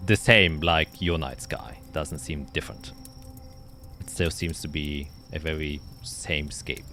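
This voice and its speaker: Low-voiced man narrating fantasy tabletop. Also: a little slow, pushed and bright, soft background music. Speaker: game master.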